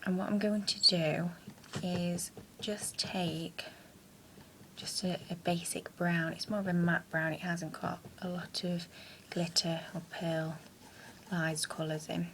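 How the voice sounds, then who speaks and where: Young woman, croaky gravelly voice, rambling chatty tutorial, close camera mic, faint hiss. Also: lowish voice, little pitch movement, slow pace, fairly bright tone. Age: young adult. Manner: chatty, rambling, with hesitant fillers. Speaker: makeup vlogger. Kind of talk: casual makeup tutorial. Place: small room at home, indoors. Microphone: built-in camera mic at close range.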